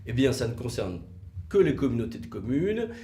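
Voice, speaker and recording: Animated man explaining, in an echoey room. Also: mid-range voice, moderate pace. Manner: didactic, rhetorical questions, emphatic stress on key words. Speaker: legal explainer presenter. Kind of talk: explanatory legal video talk, semi-scripted. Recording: roomy, echoey room sound.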